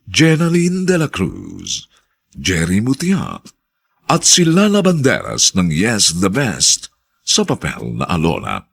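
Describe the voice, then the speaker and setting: A man with a gravelly, husky voice reading dramatic scripted narration and credits as an announcer. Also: on the low side.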